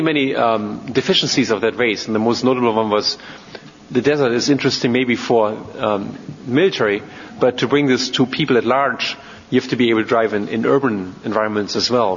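A man from Germany with a German accent gives an informal technical talk as a robotics researcher, speaking haltingly with many ums and repeated words. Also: medium pitch. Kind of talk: informal technical lecture to an audience. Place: large reverberant hall, speaking to an audience. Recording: muffled, noisy, reverberant hall.

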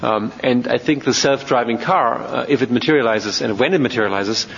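A man from Germany with a German accent gives an informal technical talk as a robotics researcher, speaking haltingly with many ums and repeated words. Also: mid-range voice, vocal fry, fairly bright tone. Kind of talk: informal technical lecture to an audience. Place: large reverberant hall, speaking to an audience. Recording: muffled, noisy, reverberant hall.

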